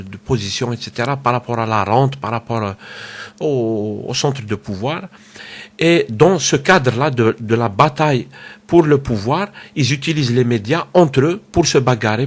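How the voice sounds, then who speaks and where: Hesitant man with a head cold. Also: mid-range voice. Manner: hesitant, many fillers and repeated words. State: has a cold. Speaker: political commentator. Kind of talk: casual solo political commentary. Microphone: home microphone.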